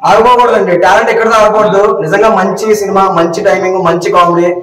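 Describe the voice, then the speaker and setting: A man giving an excited, emphatic film review to a public interviewer, often shouting and breaking into rhyming slogans. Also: high voice, fast talker. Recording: echoey, noisy, distant from mic.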